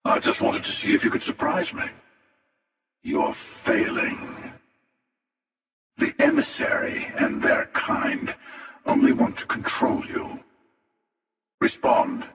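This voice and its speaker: Echoing, gravelly hunter villain. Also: male voice, very high voice, wildly swooping pitch, slow pace, pauses often, a bit bright, full character voice. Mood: taunting. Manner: smug, taunting, theatrical menace. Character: cynical, power-hungry male space-faring hunter villain. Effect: echoing, reverberant voice processing. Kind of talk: video game voice acting. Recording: heavy echo and long reverb, distant.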